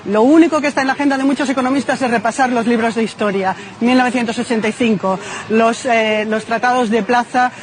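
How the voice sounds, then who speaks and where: A man delivering a broadcast news report, explaining quickly. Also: extremely high pitch, slight background noise.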